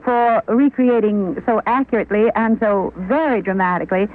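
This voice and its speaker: A middle-aged woman giving a formal, articulate guest interview on a tinny 1940s radio broadcast. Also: mid-range voice. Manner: articulate, formal, measured phrasing. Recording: tinny vintage radio recording.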